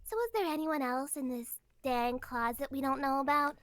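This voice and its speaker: A woman's voice: high-pitched, normal speed, fairly bright tone, no echo. A woman voicing an exasperated, slangy teenager in an anime comic dub, blunt and grumbling. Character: exasperated, slangy teenager stuck in wrong body.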